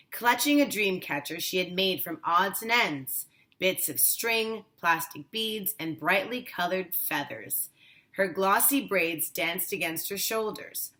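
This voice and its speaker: A woman reads a children's storybook aloud as a teacher would. Her voice is bright and a little low. She takes it very slowly, phrase by deliberate phrase.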